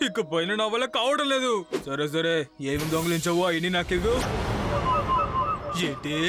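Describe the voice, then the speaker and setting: A scheming crime boss from a kids' cartoon. The voice is high and theatrical, always commanding and scolding. Music is clearly audible behind it. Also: male voice. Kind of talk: children's cartoon villain acting.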